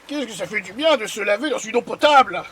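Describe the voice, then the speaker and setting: Man playing a shrill, whining charlatan. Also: lively intonation, fast talker, a bit bright, slightly rough, heavily acted. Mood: smug, boastful. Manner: theatrical whining and pleading. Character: shrill, cowardly charlatan, boastful, melodramatic male swindler. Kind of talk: improvised online roleplay.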